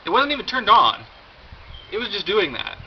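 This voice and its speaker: An American man giving a casual, rambling show-and-tell with wry, deadpan humour and a halting delivery. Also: high-pitched. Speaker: video host. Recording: dull lo-fi audio, loud background noise.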